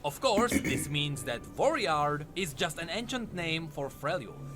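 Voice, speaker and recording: A man's voice: a little high, very animated, unhurried. A man narrating a scripted lore video. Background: faint music.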